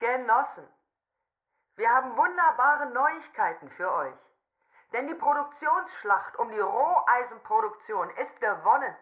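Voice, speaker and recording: An actress playing a cheerful telescreen propaganda announcer in a radio drama, formally proclaiming a bulletin. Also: female voice, on the high side, big pitch swings, a little slow, dull audio. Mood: triumphant.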